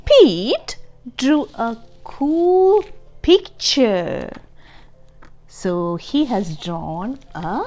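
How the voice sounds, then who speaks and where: Very animated, high-voiced woman reading storytime. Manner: expressive, deliberate, emphasising key words. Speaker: children's storybook reader. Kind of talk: read-aloud storytime for young children, with explanatory asides and questions.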